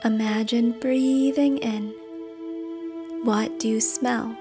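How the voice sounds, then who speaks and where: A woman reading a script as a meditation guide, with a soft, deliberate, instructional delivery. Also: on the high side, steady tone. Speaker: meditation guide and podcast host. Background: background music.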